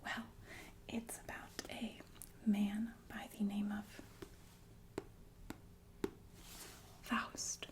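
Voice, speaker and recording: A woman playing a sinister, falsely soothing killer nurse in an ASMR roleplay, speaking in a genteel, deliberate breathy whisper with murmured asides. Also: medium pitch, level tone, natural voice. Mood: refined, musing. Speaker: ASMR performer.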